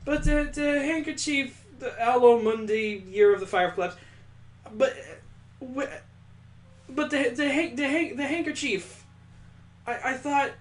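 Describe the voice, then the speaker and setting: A man's voice: very high voice, lively intonation, measured pace, long pauses. A man hosting a let's play, reading a visual novel dramatically and adding incredulous, joking commentary. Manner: incredulous, joking asides between earnest narration. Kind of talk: dramatic read-aloud with reactive commentary.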